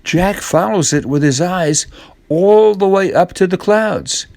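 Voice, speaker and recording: A man's voice: high-pitched, unhurried, gravelly, darkish tone, no echo. An older man narrating a children's story as a storyteller.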